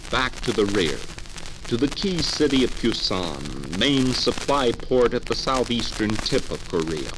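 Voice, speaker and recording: Male American announcer, mid-pitched, scripted 1950s radio news narration, clearly noisy old disc recording.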